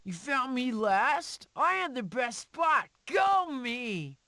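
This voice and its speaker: A woman voicing a boastful, lively young animal child in an animated prehistoric video game. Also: high voice, slow, a little hiss or hum, full character voice.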